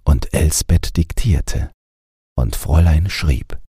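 Very deep, husky male storyteller. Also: slow, mellow, dry recording, clean studio sound. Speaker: audiobook narrator. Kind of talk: calm bedtime storytelling, scripted narration.

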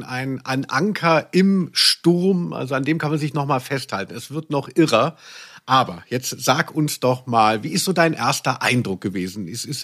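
A man co-hosting a podcast, musing casually and wryly with deliberate emphasis. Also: on the high side, a bit bright. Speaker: podcast co-host. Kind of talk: casual review chat.

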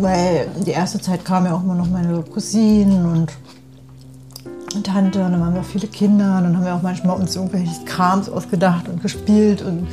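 A woman in casual, reflective conversation as a guest, thinking aloud and checking for agreement as she goes. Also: lowish voice. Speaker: guest in conversation, friend of host.

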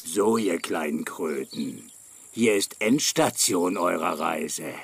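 An actor playing a menacing, scornful robber boss in a children's radio play, barking threats and sneers. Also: male voice, low voice, lively intonation, slow, creaky, fairly bright tone, slightly rough, no room sound, exaggerated voice. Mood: menacing, smug.

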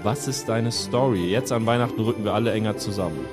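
Casting: male comedy narrator, lowish voice, mock-solemn storyteller, jingle-bell music behind. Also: fast. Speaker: narrator, comedy podcast host. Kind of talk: improvised storytelling comedy audio play. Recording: music under the voice. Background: music with jingle bells.

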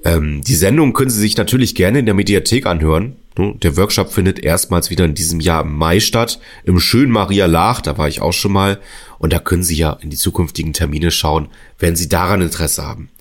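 A podcast host with a very deep voice. He talks in a chatty, friendly way and jokes with his guest. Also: fairly quick. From northern Germany. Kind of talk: casual podcast hosting.

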